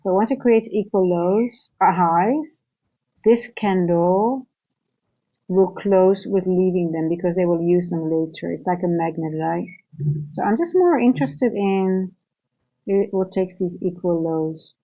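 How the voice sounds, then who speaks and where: A woman's voice: fairly low pitch, unhurried, warm tone, highs cut off. A woman from the Netherlands with a Dutch accent, thinking aloud in live trading commentary, often restarting and asking "right?". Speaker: trading coach.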